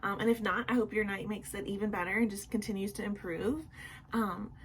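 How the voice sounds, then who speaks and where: A woman's voice: medium pitch, steady tone, fairly quick, a little hiss or hum, rough recording. A young woman with a sick, sore throat chatting casually in a nightly vlog from home, apologetic and full of ums and likes. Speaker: vlogger.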